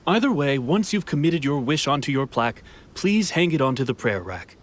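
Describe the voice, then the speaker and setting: A man's voice: a little high, normal speed. A man voicing a courteous stall keeper who sells prayer plaques at a festival in a video game. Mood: explanatory. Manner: calm, welcoming, explanatory.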